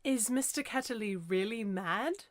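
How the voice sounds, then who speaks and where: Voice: mid-range voice, lively intonation, unhurried, no echo, studio quality, pitched above the performer's own voice, close to an everyday voice. A storyteller voicing a bold, chatty young girl in an audiobook reading. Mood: curious. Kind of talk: audiobook character voice.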